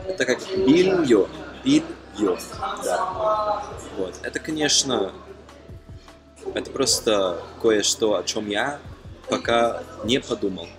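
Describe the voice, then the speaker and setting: A young man from Germany talking casually to camera as a non-native speaker, halting with frequent fillers and self-corrections. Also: medium pitch, animated, low-quality recording. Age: young adult. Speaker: language-learning vlogger. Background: background music.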